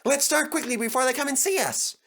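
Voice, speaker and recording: A man voicing a cheeky, excitable little male tank engine in a children's storybook. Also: very high voice, a little fast, gravelly, pitched above the performer's own voice, exaggerated voice. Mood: cocky, excited.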